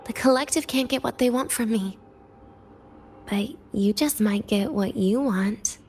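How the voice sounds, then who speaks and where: An actress voicing a weary, teasing, guarded young woman in a video game, speaking with wry, breathy pauses. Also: fairly high pitch, darkish tone, soft background music. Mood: sly, intrigued.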